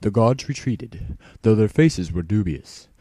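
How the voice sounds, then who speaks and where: A man's voice: fairly low pitch, lively intonation, measured pace, mellow, slightly rough, dry recording. A man reading a scripted story aloud as a dramatic narrator. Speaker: fan fiction narrator. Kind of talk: dramatic scripted narration, spoofing an audiobook reader.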